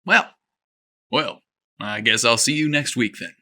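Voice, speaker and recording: A man's voice: mid-range voice, unhurried, bright, forceful tone. A man doing a charming easygoing golfer. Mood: friendly.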